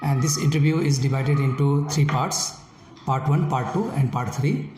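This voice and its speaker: A man with a Nepali accent acting as a speaking-test examiner, asking formal interview questions slowly, with hesitant fillers. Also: mid-range voice, darkish tone, faint music behind. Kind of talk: scripted exam interview questions. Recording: echoey room with faint noise.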